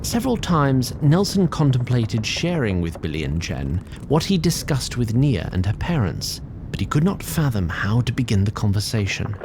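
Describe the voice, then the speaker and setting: A man as a wry, very animated fantasy storyteller. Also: mid-range voice, moderate pace, background noise. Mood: measured, wry. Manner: formal, wry, prone to asides. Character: chatty, digressive, fussy male tree sprite storyteller. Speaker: narrator. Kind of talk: theatrical scripted fantasy narration.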